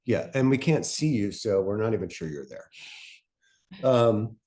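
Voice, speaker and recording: A man moderating a casual panel talk in a self-deprecating way, thinking aloud in halting phrases. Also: on the low side, animated, darkish tone.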